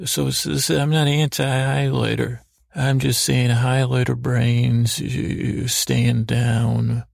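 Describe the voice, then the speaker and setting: Male podcast host for sleepy, mock-serious monologues: heavy vocal fry, even intonation, halting and meandering delivery. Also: mid-range voice, no echo, clean studio sound. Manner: meandering, halting, repeating half-words. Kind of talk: rambling bedtime monologue to help listeners sleep.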